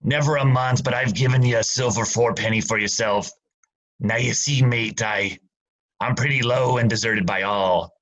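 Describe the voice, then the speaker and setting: A man plays an old sea captain gone to drink, rough and fearful. He talks in salty sailor dialect at a middling pitch, swinging between pleading and bluster. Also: moderate pace, fairly bright tone, highs cut off, natural voice. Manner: gruff seafaring dialect, pleading. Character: old drunken male pirate captain, frightened. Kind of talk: student radio play acting.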